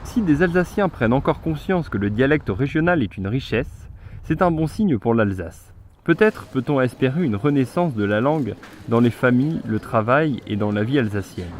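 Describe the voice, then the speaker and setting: A young man narrating a news report in a steady, scripted voice-over. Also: medium pitch, moderate pace, a little hiss or hum. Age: young adult. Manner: steady read delivery.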